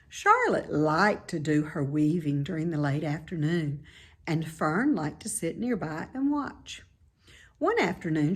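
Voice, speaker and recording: A woman reading a children's novel aloud as scripted narration, in an expressive, deliberate way. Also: lowish voice, breathy edge. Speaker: storybook reader.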